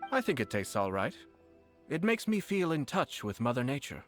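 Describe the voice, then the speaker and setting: Guy voicing a calm young noble commissioner, mid pitch, polite and a bit wry, faint music. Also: close to an everyday voice. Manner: measured, polite, quietly amused. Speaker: clan commissioner. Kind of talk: game cutscene voice acting.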